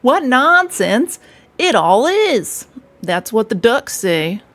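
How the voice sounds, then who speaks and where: A hearty, good-natured water rat, as a woman puts him on, brisk and sure of himself. He speaks with emphatic cheer, and his voice is a touch louder than the narration around him. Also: on the high side, very animated, full character voice. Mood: cheerful. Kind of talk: storybook read-aloud.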